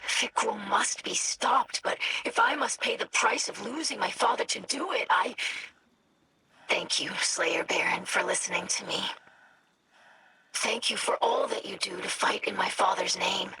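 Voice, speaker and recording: A woman's voice: mid-range voice, a little slow, sharp tone, a little hiss or hum. A woman playing an earnest, anxious young alien scientist in a video game, speaking hesitantly and formally. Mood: solemn gratitude. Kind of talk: video game dialogue acting.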